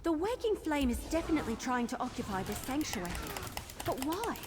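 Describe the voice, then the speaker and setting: An actress playing a spirited, impatient young wood elf adventurer in a fantasy game, with a pert, emphatic delivery. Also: female voice, high-pitched, sing-song, fairly quick. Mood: alarmed, puzzled. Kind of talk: scripted fantasy game acting. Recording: long reverb, faint noise.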